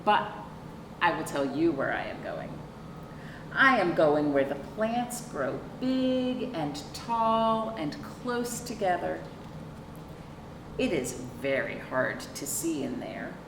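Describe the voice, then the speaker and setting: A middle-aged woman hosting a children's storytime, reading aloud with chatty asides, expressive drawn-out emphasis and questions to young listeners. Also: lowish voice. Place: small indoor room, seated on a couch. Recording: roomy, distant mic with clear background noise.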